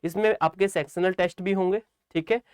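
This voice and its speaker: A man's voice: high voice, fairly even intonation, a little fast, smooth, no room sound, studio quality. A man giving informal instructional talk to students as a coaching teacher, repeating phrases for emphasis and often checking that they follow.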